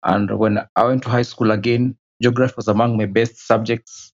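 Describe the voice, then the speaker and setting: A man's voice: on the low side, fairly even intonation, moderate pace, dull audio. A man, answering interview questions hesitantly and often repeating a word as he recalls his past. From Kenya. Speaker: guest.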